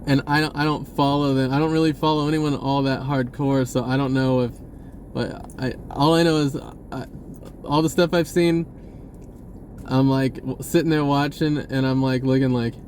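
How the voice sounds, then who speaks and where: A man talking casually and off the cuff to viewers, halting and often repeating his words. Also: medium pitch, steady tone, darkish tone, slight background noise. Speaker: spiritual video blogger. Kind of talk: casual unscripted monologue to viewers.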